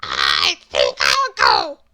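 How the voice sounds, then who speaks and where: A woman voicing a small, polite, confused storybook man with a halting, puzzled manner. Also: rumbling low, wildly swooping pitch, pushed and bright, voice pushed down, heavily acted. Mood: confused, flustered.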